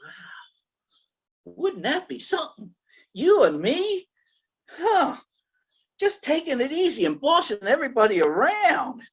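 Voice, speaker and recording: A woman's voice: high voice, big pitch swings, full character voice. A woman playing a dim, insecure gangster gunman in a radio play, hesitant and stammering. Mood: awed, smitten. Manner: hesitant, stammering, slow-witted. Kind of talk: 1940s radio comedy-thriller acting.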